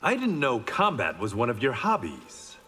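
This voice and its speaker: A stoic, wry swordsman hero from a fighting game, talks with confident, varied intonation at a middle pitch. The line rings in reverb amid loud noise. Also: wildly swooping pitch, normal speed. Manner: dry, confident. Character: stoic, dryly witty blind male swordsman hero. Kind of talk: video game hero banter.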